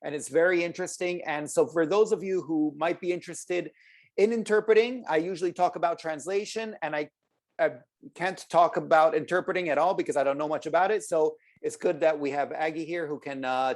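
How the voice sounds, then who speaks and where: A man's voice: fairly high pitch, brisk. A man hosting an interview show for freelancers, speaking in a hesitant, friendly way with many fillers. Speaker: podcast host.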